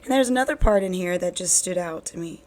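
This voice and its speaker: A woman hosting a bible reading channel, talking earnestly and encouragingly to her viewers while her voice is hoarse from recent illness. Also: lowish voice, fast talker, darkish tone. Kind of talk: casual devotional talk to camera.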